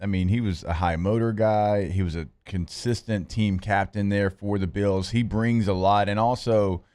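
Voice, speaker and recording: A man with a low voice on a studio radio sports show, talking in steady, measured banter. He lays out numbers and points plainly. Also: clean tone. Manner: steady, reels off stats. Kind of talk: casual sports talk banter.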